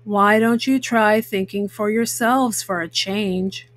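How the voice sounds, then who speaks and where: A woman's voice: medium pitch, slow, no echo, pitched below the performer's own voice, lightly acted. A woman voicing a young boy bear cub, earnest, spirited and straightforward, in a children's storybook. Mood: defiant.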